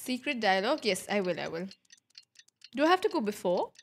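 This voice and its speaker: A woman's voice: medium pitch, very animated, brisk, slight background noise. A young woman streaming a game, chatting and reacting casually to it. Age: young adult. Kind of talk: casual livestream reaction chat.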